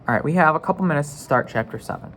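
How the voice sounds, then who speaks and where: A man's voice: on the high side, animated, racing, fairly bright tone. A man narrating a children's novel in a read-aloud style, acting out the dialogue.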